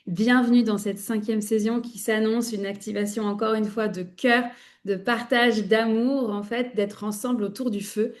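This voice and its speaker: A woman's voice: fairly high pitch, steady tone, normal speed. A woman hosting a podcast, welcoming her guest and asking questions. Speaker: podcast host.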